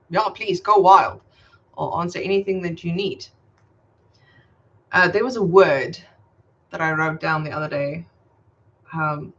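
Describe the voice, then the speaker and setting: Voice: medium pitch, fairly bright tone. Afrikaans-native host, muffled stream audio. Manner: friendly, explaining patiently, reading viewer comments aloud. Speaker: channel host answering viewer questions. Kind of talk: casual livestream chat and language tips.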